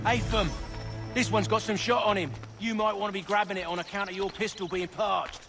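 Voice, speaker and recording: An actor playing a sardonic, mercenary lowlife in a video game, talking in coarse, surly slang. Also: male voice, steady tone, a little fast, creaky, over music. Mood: gruffly casual. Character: rough, mercenary, hard-drinking lowlife ruffian, sardonic and streetwise. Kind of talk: video game cutscene acting.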